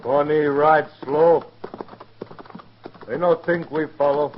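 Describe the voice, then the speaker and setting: Radio western army scout: stoic native tracker, slow, halting broken English, dull vintage recording. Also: male voice, on the high side, fairly even intonation, slight background noise. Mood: grave, wary. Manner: halting broken English in short clipped phrases. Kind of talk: 1950s radio western acting.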